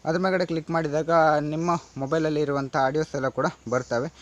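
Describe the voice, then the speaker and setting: Higher-pitched man giving an app tutorial. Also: fairly even intonation, fast, highs cut off. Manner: repetitive, instructional, addressing viewers as friends. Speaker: tech tutorial host. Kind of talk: casual step-by-step app tutorial voice-over.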